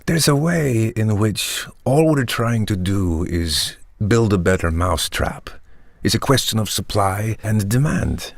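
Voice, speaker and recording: Husky, creaky vampire entrepreneur. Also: male voice, lowish voice, no room sound, studio quality, heavily acted. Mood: earnest, persuasive. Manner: measured, articulate, earnest rationalizing. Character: polished, self-justifying vampire tech entrepreneur. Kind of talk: theatrical monologue in the manner of a startup pitch.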